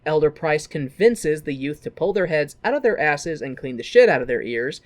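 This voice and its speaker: A woman's voice: deep voice, lively intonation, normal speed, darkish tone. A woman co-hosting a podcast, recapping a story in a casual, humorous and theatrical way. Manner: theatrical, playful. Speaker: podcast co-host.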